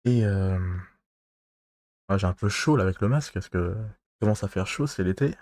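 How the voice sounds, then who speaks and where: A man from France giving advice in a casual monologue to his viewers, halting and rambling, pausing constantly and losing his thread. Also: deep voice, a little mellow, no room sound, clean studio sound. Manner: loses his thread mid-talk. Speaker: vlogger giving dating advice.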